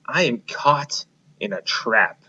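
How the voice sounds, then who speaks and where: A proud lion king from a storybook talks down with slow, mocking confidence, as a man reading aloud puts him on. His lines come out loud and drawn out. The recording is dull and lo-fi. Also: medium pitch, lively intonation, full character voice. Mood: distressed. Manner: boastful, mocking.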